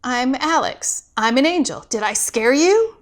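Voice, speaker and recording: An eager, awkward young angel as a woman storyteller puts them on with a high, animated voice. The angel rambles nervously and sounds apologetic, like a novice in a children's tale. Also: a little slow. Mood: hopeful, friendly.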